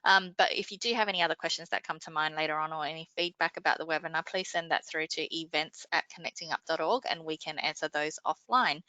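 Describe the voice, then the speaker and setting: A woman's voice: on the low side, sharp tone, smooth, no echo, rough recording. A woman moderating an online webinar, politely reading out questions and signing off in an orderly way. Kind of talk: scripted-sounding question reading and sign-off.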